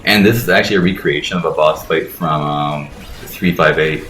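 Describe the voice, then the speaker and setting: A man's voice: extremely low pitch, normal speed, fairly bright tone, reverberant, faint music behind. A young man giving casual, irreverent game commentary, explaining things as he goes. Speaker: gameplay commentator.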